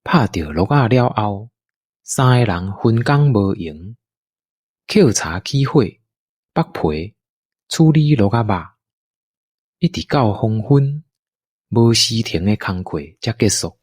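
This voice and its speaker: Male narrator, Taiwanese accent. Very animated intonation, leisurely pace, storytelling for audio drama. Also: fairly low pitch, breathy edge, no room sound, studio quality. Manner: unhurried, expressive storytelling delivery.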